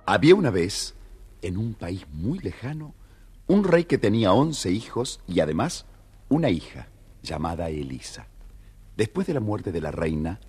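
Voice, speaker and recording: A man narrating a children's fairy tale from a script in a theatrical, raspy voice. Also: lowish voice, very animated, measured pace, a little mellow. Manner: theatrical storytelling.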